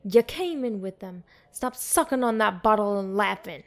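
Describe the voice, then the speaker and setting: Female actor as no-nonsense pub landlady, average pitch, scolding, bossy, blunt, audio roleplay. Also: lively intonation, normal speed, natural voice. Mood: bossy, exasperated.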